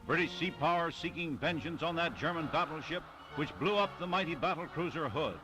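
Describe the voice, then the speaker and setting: A man delivers 1940s newsreel narration in a fairly high voice. His phrasing is clipped and declamatory, set over prominent music on a vintage soundtrack. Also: brisk, rough recording.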